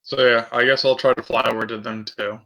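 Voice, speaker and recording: A man's voice: medium pitch, little pitch movement, fast, bright, forceful tone. A man taking part in a tabletop role-playing game as a player, chatting casually.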